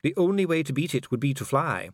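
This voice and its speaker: A man's voice: on the high side, sing-song, quick pace, no echo, studio quality, pitched above the performer's own voice. A man voicing a solemn, disdainful dwarf official in an audiobook, formal, precise and pompous. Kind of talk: audiobook character acting.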